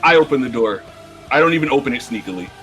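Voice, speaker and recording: A man's voice: mid-range voice, expressive, fast talker, a bit bright, faint music behind. A man bantering casually as a player in a tabletop game.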